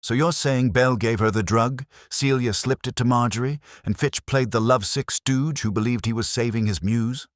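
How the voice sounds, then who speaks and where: A man's voice: mid-range voice, moderate pace, few pauses, dry recording, studio quality, pitched above the performer's own voice, close to an everyday voice. A man voicing a streetwise detective's assistant with a sardonic, questioning manner, in an audiobook. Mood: wry. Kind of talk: audiobook character dialogue.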